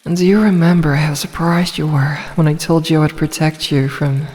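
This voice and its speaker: A woman's voice: sits low, steady tone, normal speed, a little mellow, close to an everyday voice. A woman voicing a tender, protective snake woman in a fantasy ASMR roleplay, coaxing and soothing, with a sly streak toward prey. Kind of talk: ASMR roleplay, scripted fantasy audio drama. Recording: long reverberant hall-like echo.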